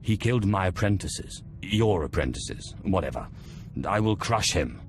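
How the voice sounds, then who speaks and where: A man playing a commanding, vengeful dark lord in a video game cutscene, cold and imperious. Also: low voice, very animated, normal speed. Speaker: Sith Lord protagonist.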